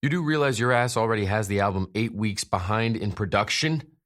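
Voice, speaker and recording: A man's voice: on the low side, a little fast, fairly bright tone, pitched above the performer's own voice, lightly acted. A man voicing an angry male bandmate who makes demands and sounds incredulous.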